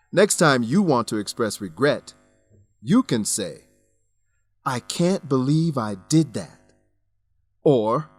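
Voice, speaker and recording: A man reads scripted educational narration at a very measured, slow pace. His pitch moves expressively as he explains things in a didactic, deliberate way. The sound is close and dry. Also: a bit bright, no echo. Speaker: narrator and English teacher.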